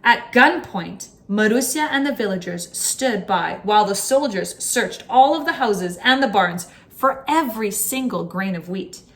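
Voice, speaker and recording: A woman reading a children's book aloud as a teacher, in an expressive storytime style. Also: mid-range voice, a little slow, hardly any pauses.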